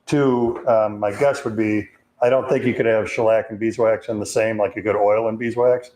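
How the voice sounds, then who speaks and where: A man from Chicago in his fifties with a deep voice and a Chicago accent, hosting a live question-and-answer chat and carefully reading viewer questions aloud. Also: steady tone, normal speed, darkish tone, roomy sound. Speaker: host.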